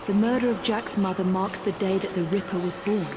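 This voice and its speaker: A woman's voice: medium pitch, steady tone, a little fast, background noise, natural voice. An actress playing a determined young female assassin in a video game cutscene, speaking in a resolute, searching way. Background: game score music.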